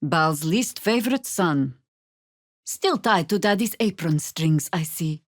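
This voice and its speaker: An actress playing a seasoned, sardonic older female druid warrior in a video game, with a wry, commanding, measured delivery. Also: lowish voice, animated, no room sound, clean studio sound. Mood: dry sarcasm. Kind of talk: video game voice acting, dramatic dialogue and battle barks.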